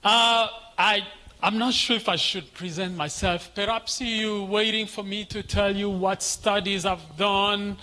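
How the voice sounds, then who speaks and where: A male guest pastor delivers a sermon in a high, forceful voice. He takes his time, speaking in short phrases and addressing the congregation directly. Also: fairly even intonation, slow pace.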